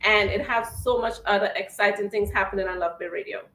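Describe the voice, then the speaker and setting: A woman's voice: mid-range voice, steady tone, fairly quick, fairly bright tone, reverberant, poor audio. A woman hosting a radio talk show, chatting casually and hyping listeners with promotions. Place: radio studio.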